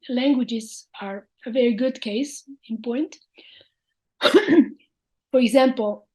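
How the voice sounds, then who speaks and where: A woman lecturing in English with a Slovene accent, speaking in a slow, reflective way with frequent hesitant fillers. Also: a little high, mellow, low-quality recording. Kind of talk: academic lecture.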